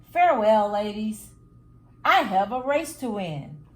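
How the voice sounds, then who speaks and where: A woman's voice: medium pitch, expressive, slow, reverberant. A woman reading a storybook voices a cocky, boastful young male hare with a showy, bragging manner. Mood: breezy, confident.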